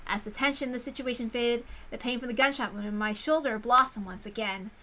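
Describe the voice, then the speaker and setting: A woman's voice: fairly high pitch, brisk, bright, forceful tone, slight background noise. A woman reading a first-person story aloud as a dramatic narration. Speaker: visual novel reader.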